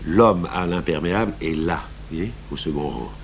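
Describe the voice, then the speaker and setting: A man acting a thoughtful, stubborn police commissioner in a French radio crime drama, speaking deliberately with hesitant pauses. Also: low-pitched. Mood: measured, persuasive. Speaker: actor playing a police commissioner. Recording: noisy 1980s radio recording with hum.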